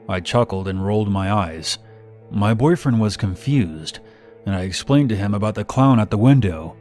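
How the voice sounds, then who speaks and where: A man's voice: lowish voice, a little mellow. A man reading a scripted first-person horror story as narration. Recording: music bed behind narration. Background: background music.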